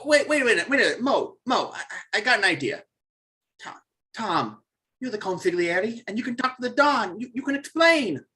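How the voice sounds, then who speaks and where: A man's voice: sits high, very animated, normal speed, sharp tone, exaggerated voice. A man voicing a nervous, pleading weak brother of a mob boss in a script read, stammering and appeasing. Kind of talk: live table read of a crime film script.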